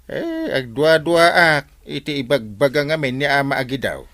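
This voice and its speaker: Jovial, animated village captain. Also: male voice, mid-range voice, moderate pace, dry recording, studio quality. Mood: jovial, friendly. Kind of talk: Ilocano comedic radio drama acting.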